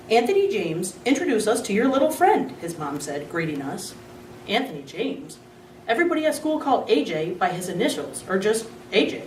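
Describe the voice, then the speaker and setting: A woman reading a children's novel aloud with lively, expressive storybook delivery, at a middling pitch. The recording is roomy and picked up from a distance, with a faint hiss underneath. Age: adult. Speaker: school secretary reading aloud.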